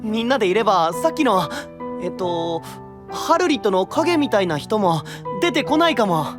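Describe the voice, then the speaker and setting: Kind young male knight, reassuring, lively melodic delivery, fantasy voice drama, light music. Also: high voice, moderate pace. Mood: earnest, encouraging. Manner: gentle, reassuring. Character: caring, earnest young male knight, protective friend.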